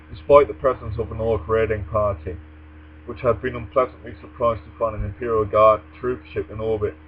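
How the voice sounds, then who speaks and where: A young man speaking English in an articulate, formal way, as if for a personal vlog. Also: on the low side. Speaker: vlogger. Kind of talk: reading aloud and casual answers to a tag challenge. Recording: dull lo-fi microphone with mains hum.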